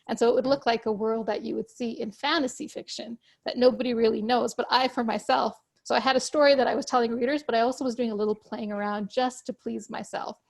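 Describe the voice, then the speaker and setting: A woman whose first language is Urdu, a guest author explaining her ideas in a measured, conversational way over a video call. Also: on the high side, steady tone, creaky. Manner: measured, explanatory, occasional restarts.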